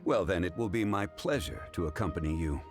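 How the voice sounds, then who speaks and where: A man voicing a calm, learned and modest gentleman who speaks formally and courteously. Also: low-pitched, a little fast. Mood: composed. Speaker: funeral parlor consultant. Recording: game dialogue over music.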